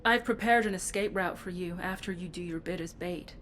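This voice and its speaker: An actress playing a determined, cool-headed infiltration agent in a video game, controlled and businesslike in delivery. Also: female voice, on the low side, fairly quick, a bit bright, slight background noise, natural voice. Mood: resolute. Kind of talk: dramatic video game dialogue.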